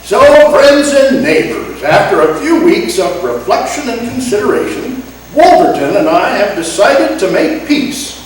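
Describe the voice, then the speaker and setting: A man's voice: sits high, sing-song, measured pace. A man playing an earnest, oratorical Old West gunfighter in a comic radio western. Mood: earnest, proclaiming. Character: high-minded Old West gunfighter declaring peace to townsfolk. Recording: echoey, distant stage recording, faint noise.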